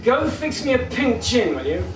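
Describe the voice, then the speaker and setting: A man's voice: extremely high pitch, sing-song, moderate pace, music in the background, pitched above the performer's own voice. A man voicing a slyly amused, commanding father in a short story read live. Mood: jovial, commanding. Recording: distant, echoey live recording in a venue.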